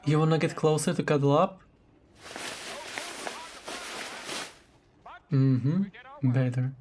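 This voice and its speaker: A man playing a tender, caring boyfriend in a romantic roleplay audio drama, speaking gently and affectionately. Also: on the high side, normal speed, slight background noise, natural voice. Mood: affectionate, relaxed. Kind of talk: romantic roleplay audio drama, intimate one-sided dialogue.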